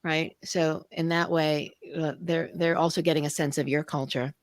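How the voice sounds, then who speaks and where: A woman's voice: low voice, steady tone, normal speed. A woman who grew up in New York, co-hosting a casual chat and asking curious follow-up questions. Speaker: co-host.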